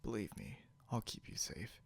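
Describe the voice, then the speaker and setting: A man playing a possessive, snarky, non-human captor in an ASMR roleplay, speaking softly and deliberately, often close to a whisper, with smug condescension. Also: slight background noise, natural voice. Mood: calm, possessive. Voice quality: soft, breathy, often near whisper. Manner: smug, teasing, slow and deliberate.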